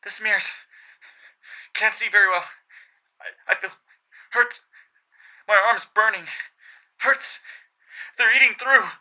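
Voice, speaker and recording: A woman's voice: medium pitch, slow pace, long pauses, pushed and bright, voice pushed up. A man reading aloud, voicing a young woman diver who grows frightened and breathless in danger. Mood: panicked. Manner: breathless, gasping.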